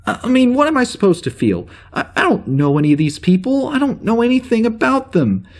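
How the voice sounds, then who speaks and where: An actor playing a troubled starship officer with amnesia, halting and rambling as he records a log in a naturalistic audio drama. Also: very high voice, sing-song, exaggerated voice. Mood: defensive distress. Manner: halting, rambling, self-interrupting.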